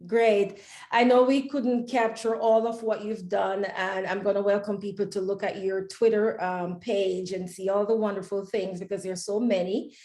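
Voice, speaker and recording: A woman hosting an interview, introducing her guest in a halting way with many fillers. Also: mid-range voice, fairly even intonation, warm tone, echoey room. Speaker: host.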